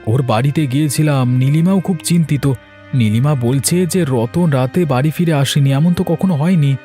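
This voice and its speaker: A man's voice: fairly high pitch, normal speed, warm tone, close to an everyday voice. A man voicing an anxious, guilt-ridden village man in a fairy tale cartoon. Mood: worried, regretful. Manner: agitated, stammering when alarmed. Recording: voice over background music.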